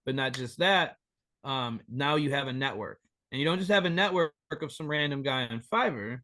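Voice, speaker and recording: A rambling, animated man in his thirties, speaking as a guest over a remote call. He swears freely and keeps a mid-range pitch, and the recording is dry and close with no room echo. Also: normal speed. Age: 30s. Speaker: band member guest. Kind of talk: casual interview chat.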